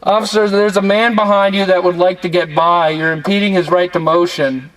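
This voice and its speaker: A man's voice: high voice, fairly even intonation, a little fast, a bit bright, low-quality recording. An American man making a declamatory, legalistic protest speech through a megaphone from a parked car. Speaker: activist vlogger. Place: parked car at night outside a city building.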